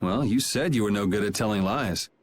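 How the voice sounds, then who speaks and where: A man's voice: lowish voice, brisk, slight background noise, close to an everyday voice. A man voicing a laid-back, perceptive young hero in a video game, with a casual, wry manner. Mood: calm, probing.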